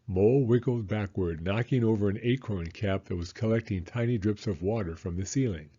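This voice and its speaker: A man reading a children's story aloud with expressive narration. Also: on the low side, fairly quick, slightly rough, highs cut off.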